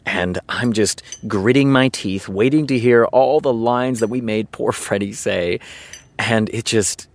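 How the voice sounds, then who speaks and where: An actor playing a candid, wry TV showrunner, talking conversationally and pausing for effect in a naturalistic audio drama. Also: male voice, on the low side, dry recording. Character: candid male TV showrunner, wry and self-deprecating. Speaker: television writer character.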